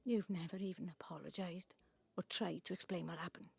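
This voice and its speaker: A woman's voice: lowish voice, steady tone, brisk, vocal fry, breathy edge, no echo, heavily acted. A middle-aged woman playing a bitter, wounded grown daughter in an emotional audio drama. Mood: bitter, hurt. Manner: emotional, swinging from quiet bitterness to cries. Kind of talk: naturalistic audio drama acting.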